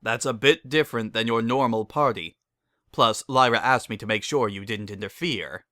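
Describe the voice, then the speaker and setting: A sensible male unicorn from a cartoon tale, played by a reader. He talks calmly and plainly, in a matter-of-fact way, with a dry close sound. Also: mid-range voice, moderate pace, dry recording, lightly acted. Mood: calm, reassuring.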